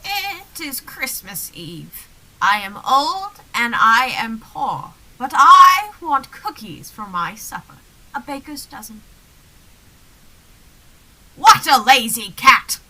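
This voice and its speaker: A teenage actress playing a poor, stubborn old woman in a high school radio play, declaiming insistently and theatrically. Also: sing-song, takes it slowly, bright, forceful tone, a little hiss or hum, exaggerated voice. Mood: pleading.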